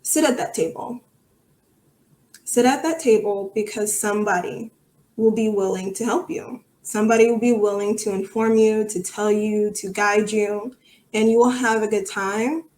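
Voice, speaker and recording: A woman's voice: medium pitch, steady tone, normal speed, darkish tone. A woman giving conversational, outspoken and emphatic answers as a podcast guest. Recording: echoey room sound.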